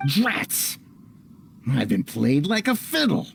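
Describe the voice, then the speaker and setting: A man voicing a pompous, blustering, greedy merchant villain in a theatrical, melodramatic video game style, with a gravelly voice. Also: fairly high pitch, huge pitch swings, slow, soft background music, heavily acted. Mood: outraged.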